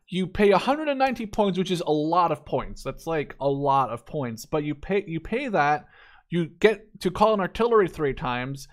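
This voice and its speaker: Man, twenties, slightly high pitch, casual gaming commentary, unscripted, rambling, opinionated. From Netherlands. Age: 20s. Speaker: gaming YouTuber reviewing a game deck.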